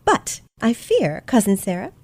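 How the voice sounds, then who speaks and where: A woman's voice: medium pitch, huge pitch swings, unhurried, darkish tone, heavily acted. A woman playing a confident, shrewd teenage girl detective in an old-fashioned radio play, speaking formally and theatrically.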